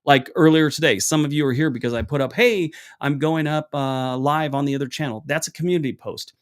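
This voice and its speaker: A man with a deep voice hosting a casual livestream, answering chat questions in a rambling, chatty way with many restarts. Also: brisk. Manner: acts out both sides of an anecdote with raised pitch. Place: room at home. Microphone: small wireless clip mic.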